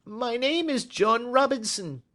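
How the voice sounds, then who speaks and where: Man doing a nervous little attendant, frightened, high thin quavering voice, very lively pitch swings. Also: unhurried, full character voice. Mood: frightened, eager. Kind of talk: audiobook character voice.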